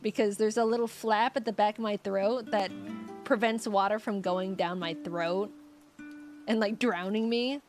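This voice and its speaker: A woman's voice: mid-range voice, fairly even intonation, a little slow, a little mellow, faint music behind. A young woman streamer in casual chat with her viewers, rambling and giggly with lots of fillers, her voice getting scratchy.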